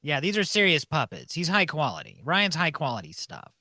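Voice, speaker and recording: A man's voice: on the high side, huge pitch swings, brisk, bright, forceful tone, no room sound, clean studio sound. A man casually hosting a call-in show, chatting with guests and callers.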